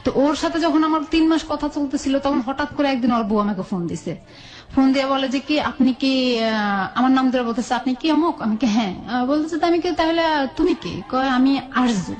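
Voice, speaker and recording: A woman's voice: high-pitched, brisk, faint music behind. A woman with a Bangladeshi accent telling her own life story in a colloquial, conversational way, often quoting dialogue back and forth.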